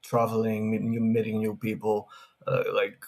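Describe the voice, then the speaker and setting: A man co-hosting a podcast who jokes in a relaxed, easygoing way. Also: lowish voice, normal speed, vocal fry. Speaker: podcast co-host. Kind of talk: casual interview chat.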